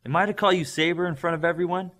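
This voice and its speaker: A man reading an audiobook, voicing a relaxed and familiar young man. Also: high-pitched, very fast, creaky, voice pushed up, full character voice.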